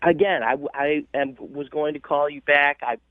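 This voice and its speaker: A man's voice: fairly high pitch, moderate pace, no room sound. A man calling into a radio show, talking casually in a hedging, self-justifying way. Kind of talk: casual phone conversation. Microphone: telephone. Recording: tinny narrow phone line.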